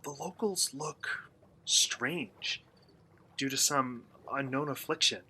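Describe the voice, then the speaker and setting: An actor playing an earnest, guarded young outsider in a radio play, asking questions in a measured, hesitant way. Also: male voice, medium pitch, bright, forceful tone, breathy edge, heavily acted. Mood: cautious. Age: young adult.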